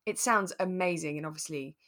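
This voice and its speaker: A woman co-hosting a podcast, explaining things step by step in a relaxed, conversational way. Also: on the low side, brisk. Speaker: podcast co-host.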